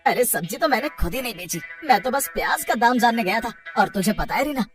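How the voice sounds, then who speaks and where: A woman's voice: on the high side, lively intonation, fairly quick, over music, close to an everyday voice. A woman voicing a greedy, scheming male farmer in a children's cartoon story, theatrical and exclamatory. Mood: gloating.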